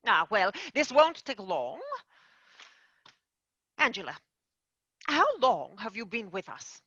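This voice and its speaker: Woman voicing a prim fairy manager, formal and measured, bright lively voice, dry dull recording. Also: medium pitch, husky, dry recording, heavily acted. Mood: formal, brisk. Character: brisk woman boss of the tooth fairies. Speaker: manager firing an agent.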